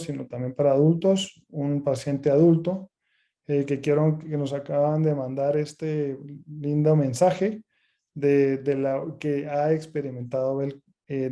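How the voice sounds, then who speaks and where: A man speaking as a doctor giving an explanatory webinar lecture, halting and pausing mid-phrase. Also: a little high, dark tone. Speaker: ENT specialist doctor presenting a webinar.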